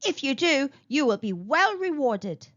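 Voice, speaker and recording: A woman's voice: high-pitched, very animated, unhurried, fairly bright tone, dry recording, voice pushed up, exaggerated voice. A woman voicing a stingy, smug male town mayor who makes grand, showy promises. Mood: pompous. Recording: dull lo-fi recording.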